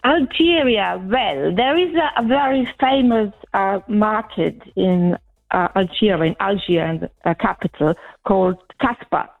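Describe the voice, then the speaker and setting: A woman's voice: medium pitch, measured pace, creaky, faint music behind. A woman of sixty from Austria, a native German speaker, calling into a radio show with short friendly answers. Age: 60s. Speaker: caller. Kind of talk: phone-in chat. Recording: tinny phone line.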